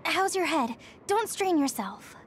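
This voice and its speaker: A woman's voice: very high-pitched, very animated, unhurried, slight background noise, exaggerated voice. A woman voicing a girlish, protective young female soldier in an anime-style game. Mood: concerned.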